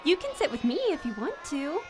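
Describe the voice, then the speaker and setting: A woman's voice: high-pitched, sing-song, a little fast, soft background music, some chatter behind. A woman playing a kind, friendly schoolgirl in an audio story. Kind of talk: audio theater acting.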